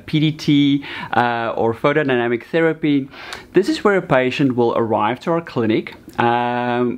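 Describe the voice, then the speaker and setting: A man in his forties with a Canadian accent, a doctor calmly explaining a treatment to patients in a bright clinic room, with a few fillers. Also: mid-range voice. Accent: Canadian English. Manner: calm, explanatory, with some fillers. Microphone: seated, medium shot to camera.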